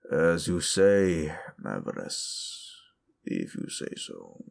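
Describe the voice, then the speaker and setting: A man voicing a grim undead death knight servant in a fantasy audio roleplay, breathy and terse, with a sullen menace. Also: sits low, very animated, slow pace, voice pushed down, exaggerated voice. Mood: grudging. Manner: terse, menacing, reluctantly obedient.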